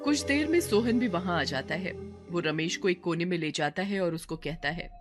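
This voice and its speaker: Animated woman storyteller with music behind. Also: on the low side, normal speed. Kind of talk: scripted dramatic story narration.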